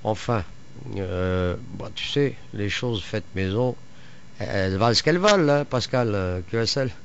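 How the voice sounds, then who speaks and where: Low-voiced man on dull radio audio. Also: expressive, creaky, slight background noise. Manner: rambling, halting explanations with trailing asides. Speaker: amateur radio operator. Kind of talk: casual radio contact chat about home-built antennas.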